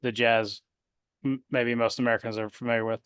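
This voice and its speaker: A man's voice: medium pitch, flat delivery, fairly quick, fairly bright tone, clean tone, no room sound, clean studio sound. A male interview host who asks his questions hesitantly, with many uhs and restarts.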